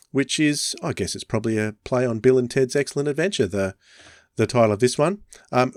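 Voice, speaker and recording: A man's voice: medium pitch, no room sound. A man hosting a casual podcast discussion in a conversational way on a close microphone. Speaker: podcast host.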